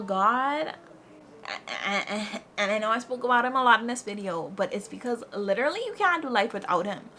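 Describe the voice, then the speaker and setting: A woman in her twenties giving lively, faith-filled life advice to her viewers, repeating her key phrases and calling them "girl". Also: mid-range voice, slight background noise. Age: 20s. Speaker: lifestyle vlogger. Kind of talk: casual motivational advice talk.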